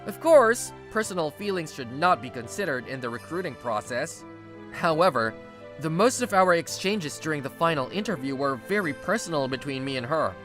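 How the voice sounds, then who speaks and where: A woman's voice: low-pitched, expressive, normal speed, soft background music. A woman voicing a stubbornly guarded, exasperated young male office recruit in a manga dub, narrating and speaking his lines defensively. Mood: guarded, resigned. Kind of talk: dramatized first-person story narration and dialogue.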